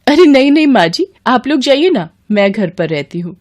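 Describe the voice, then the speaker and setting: A woman's voice: a little high, very animated, moderate pace, a little mellow, voice pushed up. A woman voicing a young bride and daughter, sheepish and whiny, with a pleading, innocent manner. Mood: meek.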